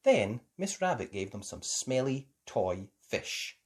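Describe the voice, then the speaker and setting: A woman reading a children's picture book aloud in a lively, sing-song storytelling way. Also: very deep voice, slow, slightly rough. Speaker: storybook reader.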